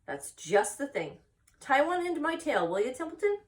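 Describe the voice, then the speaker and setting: An eager, earnest young pig from a children's storybook, acted out by a woman reading aloud. The voice swings up and down with naive excitement and sounds a little away from the microphone. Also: high voice, moderate pace, recorded from a distance, pitched above the performer's own voice. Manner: earnest, questioning, easily excited.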